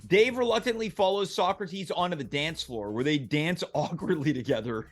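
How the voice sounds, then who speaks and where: A man's voice: fairly high pitch, expressive, normal speed, soft background music. A man narrating a comedic table read, reading screenplay directions aloud.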